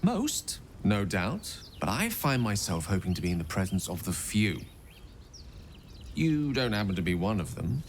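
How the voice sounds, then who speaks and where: A man's voice: fairly low pitch, big pitch swings, measured pace, slight background noise. A man playing a genteel, erudite wizard in a video game, wordy, courteous and wryly witty in his phrasing. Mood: hopeful, genial. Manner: eloquent, flowery, playful phrasing. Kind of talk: theatrical video game dialogue acting.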